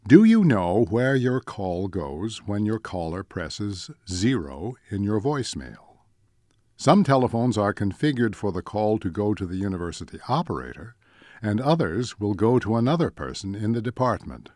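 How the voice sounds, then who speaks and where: A man reading scripted instructional narration in a measured, deliberate way, like a training presenter. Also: fairly low pitch, big pitch swings, a little mellow, no room sound, clean studio sound. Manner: measured, deliberate, enumerating points.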